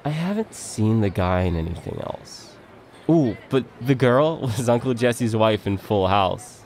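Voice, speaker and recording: A slacker dude played by a male actor rambles earnestly through a theatrical comic monologue. His slangy speech drags with wondering pauses, then swings up and down with excitement. A faint noise sits behind his voice. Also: fairly low pitch. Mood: enthusiastic. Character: excitable laid-back slacker dude, earnest movie buff.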